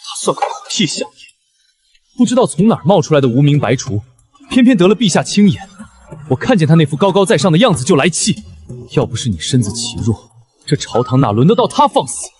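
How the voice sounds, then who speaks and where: An actor playing a brash, hot-tempered frontier general from ancient China, coarse and taunting, in an animated costume drama. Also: male voice, a little high, brisk, soft background music. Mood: contemptuous anger.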